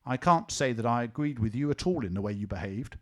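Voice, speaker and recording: A man's voice: medium pitch, expressive, fast talker, fairly bright tone, close to an everyday voice. A man voicing a sleepy, earnest little boy who argues with his sister in a reasoning tone. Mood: earnest, scolding. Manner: earnest, reasoning, a bit stubborn.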